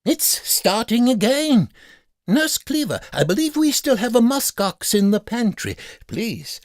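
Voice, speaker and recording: A man's voice: very high voice, no room sound, pitched above the performer's own voice, exaggerated voice. A man acts out a Victorian doctor in a comic audio story, solemn and fussy. His formal speech rises and falls theatrically, in a close, dry sound. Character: grave, formal, fussy male Victorian physician.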